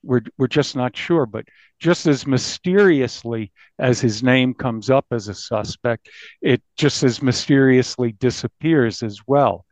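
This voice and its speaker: A man speaking as an investigative journalist in an interview, recounting a crime case in a halting but emphatic conversational way. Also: mid-range voice, a little mellow, dry recording. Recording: dull, band-limited audio.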